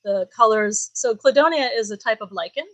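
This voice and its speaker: A woman who owns a shop and designs knitwear, talking casually as a guest, relaxed and animated with many "like"s and "um"s. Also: on the high side, a little fast, dry recording. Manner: relaxed, animated, laughs easily, says "like" and "um". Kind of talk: casual conversational interview.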